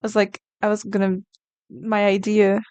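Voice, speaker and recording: Smooth-voiced woman chatting on stream. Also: mid-range voice, little pitch movement, moderate pace, a little mellow, dry recording. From Brazil. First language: Portuguese. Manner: chatty, reacts to chat messages. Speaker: artist streamer. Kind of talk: casual live-stream chat while drawing.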